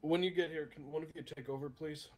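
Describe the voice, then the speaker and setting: A man with a somewhat high-pitched voice plays a police officer in an online game. He speaks politely and a bit hesitantly, improvising as he goes. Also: fairly even intonation, quick pace, darkish tone. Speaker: roleplayer playing a state police officer.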